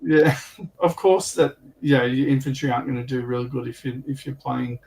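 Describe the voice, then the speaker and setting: A man's voice: mid-range voice, fairly even intonation, normal speed, creaky, darkish tone, a little hiss or hum. A man chatting informally and anecdotally as a panelist on a livestream, picked up by a distant microphone. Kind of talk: casual chat about military history and tabletop wargaming.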